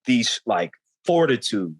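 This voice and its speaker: A man's voice: medium pitch, sing-song, takes it slowly, slightly rough. Talkative young man, casual and candid. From United States. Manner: casual, slangy, repeats "you know what I'm saying". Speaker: guest. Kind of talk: candid conversational storytelling.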